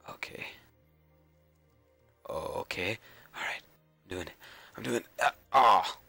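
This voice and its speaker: A young man with a cold and a raspy, scratchy voice, mumbling casual commentary to himself while playing a game. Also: sits low, takes it slowly, bright, forceful tone, faint music behind. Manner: mumbling, self-talk with frequent fillers and repeats. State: hoarse with a cold. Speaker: gameplay video creator.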